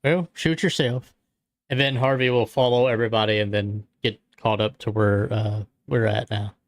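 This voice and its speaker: A man's voice: lowish voice, steady tone, normal speed, dry recording. A man playing a tabletop roleplaying game, casually describing and voicing what his character does. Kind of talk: casual third-person roleplay.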